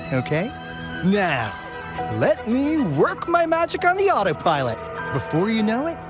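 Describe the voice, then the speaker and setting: Cheeky, showy game helper. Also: female voice, sits low, exaggerated voice. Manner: playful, showy, folksy slang. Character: breezy, cheeky gadget-peddling sailor's helper. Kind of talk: video game voice acting. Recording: music under the voice.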